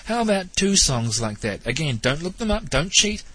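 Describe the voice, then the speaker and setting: A man's voice: a little high, very animated, normal speed, pushed and bright, husky, dry recording. A man hosting a talk show, sounding nasal and stuffed up from hay fever, with a wry, questioning manner as he comments on theology. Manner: wry, questioning, lightly teasing. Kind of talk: spoken theology commentary monologue.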